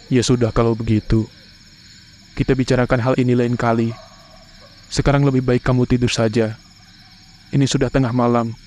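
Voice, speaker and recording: A man's voice: mid-range voice, flat delivery, normal speed, pauses often, a little mellow, lightly acted. A man playing a worried, weary young fiancé in a horror cartoon, with an Indonesian accent. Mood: resigned. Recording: clear insect and cricket noise behind. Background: night insects, crickets, ambience.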